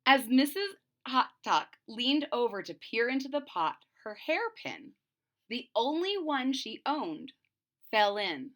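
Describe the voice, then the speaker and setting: Female storyteller for children: slow, deliberate, very animated intonation, explains simply. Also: on the high side. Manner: teacherly, deliberate, emphatic. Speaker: storyteller reading aloud to children. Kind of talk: expressive picture-book read-aloud with simple explanations.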